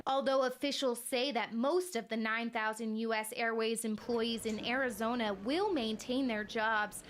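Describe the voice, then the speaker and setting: High-pitched young American woman reporting news. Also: a little hiss or hum. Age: 20s. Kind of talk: scripted broadcast news narration.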